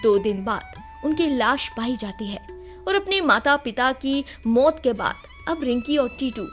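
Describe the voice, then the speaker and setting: A woman's voice: high voice, lively intonation, a little slow. A woman narrating a scripted moral story for children as a storyteller. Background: music.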